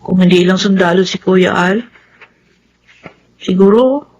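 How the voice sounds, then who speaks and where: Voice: mid-range voice, measured pace, darkish tone, lo-fi sound, a little hiss or hum, natural voice. An actor with a Filipino accent playing a worried, sympathetic younger sibling in a radio drama. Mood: concerned, sad.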